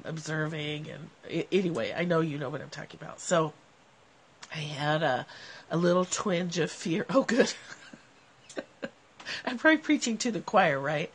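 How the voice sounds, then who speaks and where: A woman's voice: deep voice, slightly rough. A middle-aged woman with a cold and a nasal voice, talking casually to her livestream viewers on a covered porch, phone held at arm's length. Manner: animated, gestures a lot while talking. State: has a cold. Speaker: livestream host. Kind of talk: casual reflective talk to viewers.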